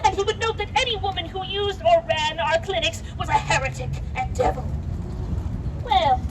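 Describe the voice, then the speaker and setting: A woman's voice: very high voice, moderate pace. A woman playing an elderly, wry and proud lady doctor in a theatrical puppet-show monologue, chatting to the audience with rhetorical asides. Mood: wry, amused. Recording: distant mic, loud outdoor street noise.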